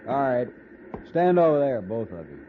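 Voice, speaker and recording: Man as gruff outlaw henchman, curt, 1950s radio western, tinny sound, clear noise. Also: medium pitch, big pitch swings, moderate pace, natural voice. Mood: threatening. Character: surly, threatening male outlaw henchman. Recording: tinny, noisy old radio recording.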